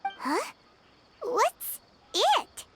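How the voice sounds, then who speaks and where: A woman's voice: very high-pitched, huge pitch swings, drawn-out words, music in the background, heavily acted. A woman voicing a small, childlike female game companion, perky and eager to help, given to exclaiming. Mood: curious. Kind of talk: video game voice acting.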